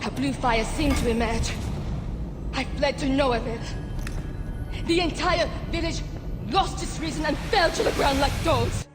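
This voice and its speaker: A woman's voice: high voice, fairly even intonation, unhurried, pauses often, long reverb tail, music in the background. An actress playing a horrified village woman who witnessed an attack, recounting it dramatically in a video game.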